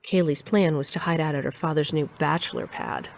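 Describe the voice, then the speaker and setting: A woman's voice: low-pitched, fairly quick, vocal fry, dry recording. A woman playing a composed lab employee who narrates her own story in an audio drama. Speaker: narrator and character. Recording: loud noise behind the voice.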